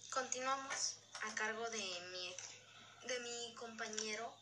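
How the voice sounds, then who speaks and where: A woman's voice: on the high side, slow, heavy background noise. A woman presenting in a formal way, reading scripted educational narration aloud.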